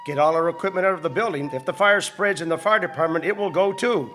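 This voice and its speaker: An actor playing a brave, commanding deputy fire chief barking orders in a radio drama. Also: male voice, fairly high pitch, fast, a bit bright, soft background music, lightly acted. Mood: urgent, commanding.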